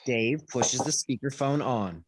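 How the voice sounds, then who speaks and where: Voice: medium pitch, unhurried, slight background noise. A person reading scripted stage directions at a play table read over video call.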